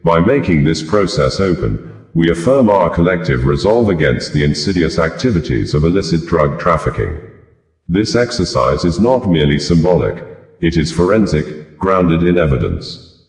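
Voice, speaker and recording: A man reading news reports aloud in a measured, formal way. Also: sits low, echoey room. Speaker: news reader.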